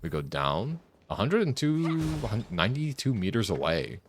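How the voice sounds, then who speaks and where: A man's voice: lowish voice, sing-song, moderate pace, slight background noise. A man streaming a game with casual, joking commentary, sometimes crude, talking to himself and reading text aloud. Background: video game music and dialogue.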